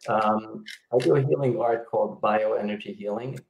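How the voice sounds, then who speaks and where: A man's voice: on the low side, normal speed, vocal fry, darkish tone, echoey room, slight background noise, rough recording. A middle-aged man from Yugoslavia, a healer guest explaining his work over a video call, with many fillers and restarts. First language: Hungarian. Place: indoor home room.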